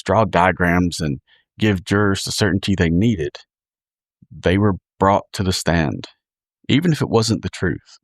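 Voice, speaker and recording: Low-voiced man narrating true crime. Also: no room sound, studio quality.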